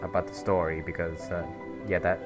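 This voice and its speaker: A man's voice: low voice, little pitch movement, a little fast, music in the background. A young man casually commentating over a game he is playing, rambling with lots of fillers and snarky asides. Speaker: gameplay commentator. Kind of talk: casual let's-play commentary.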